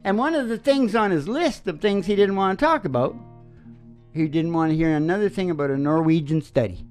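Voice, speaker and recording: A woman with a lively, swooping delivery chats casually as a show host, with faint music in the recording. She rambles along in a folksy style, opinionated and teasing. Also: on the low side, a little fast. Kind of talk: casual rambling chat between songs.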